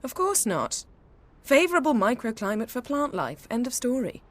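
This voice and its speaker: A woman's voice: a little high, big pitch swings, normal speed. A woman playing a self-assured, skeptical and sardonic woman in a fantasy video game, with a wry, matter-of-fact manner. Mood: wry, dry.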